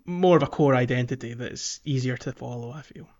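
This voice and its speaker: A man co-hosts a relaxed gaming podcast in a mid-range voice. He talks loosely and thinks aloud, filling his pauses with ums. The audio sounds dull and slightly lo-fi. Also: medium pitch. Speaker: podcast co-host. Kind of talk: casual gaming chat.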